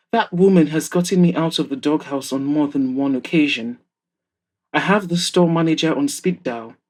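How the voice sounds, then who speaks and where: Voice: medium pitch, darkish tone, close to an everyday voice. Audio drama role: plain-spoken husband read by a narrator, matter-of-fact, candid friend, mid-range voice. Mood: amused, animated. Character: matter-of-fact married man, frank friend. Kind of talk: audiobook-style character dialogue.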